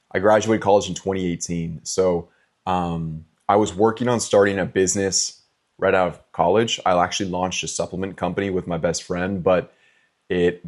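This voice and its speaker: Deep-voiced guy in his 20s, from california, laid-back chatty q&a, lots of ums. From California, USA. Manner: relaxed, chatty, frequent ums and likes. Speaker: vlogger answering fan questions. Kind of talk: casual Q&A vlog.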